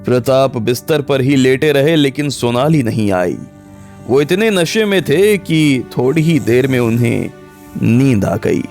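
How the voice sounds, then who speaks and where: A man's voice: mid-range voice, animated, measured pace, darkish tone. Male audio story narrator over music. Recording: background music under the voice.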